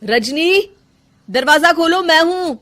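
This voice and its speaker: Woman voicing an earnest young husband, very expressive. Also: extremely high pitch, slow, pitched above the performer's own voice, full character voice. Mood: upset. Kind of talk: animated moral-story cartoon.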